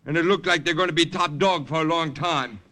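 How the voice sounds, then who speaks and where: Self-serving young brother, vintage radio play, cynical, breezy, sharp bright tone, slightly high. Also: male voice, brisk. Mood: defensive, cynical. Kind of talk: old-time radio drama acting. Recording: vintage radio drama recording.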